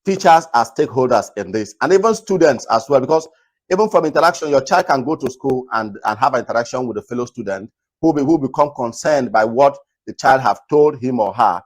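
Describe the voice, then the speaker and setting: A man from Nigeria with a naturally loud voice, hosting a talk video. He speaks earnestly to the viewers and repeats his points for emphasis. His voice sits at a middle pitch. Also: lively intonation, brisk. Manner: earnest, repetitive, advising viewers. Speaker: host. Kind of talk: casual commentary to camera.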